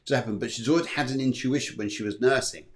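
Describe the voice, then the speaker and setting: A man's voice: medium pitch, quick pace. A man hosting a casual video-call chat, chatty and jokey, often repeating his words. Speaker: host and mediumship teacher.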